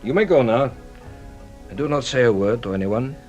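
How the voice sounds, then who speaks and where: An actor playing a courtly, shrewd French police detective in a radio drama, probing and ceremonious with a teasing, theatrical manner. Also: male voice, medium pitch, expressive, normal speed, a little mellow, dull audio, faint music behind, close to an everyday voice. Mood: grave, instructive. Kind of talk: British radio drama acting.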